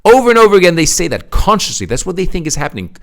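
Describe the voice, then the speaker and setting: A man's voice: high-pitched, sing-song, very fast, creaky. A man giving a motivational sales talk, pressing his points with emphatic swings. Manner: emphatic, rising and falling for effect. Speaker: speaker presenting to an audience.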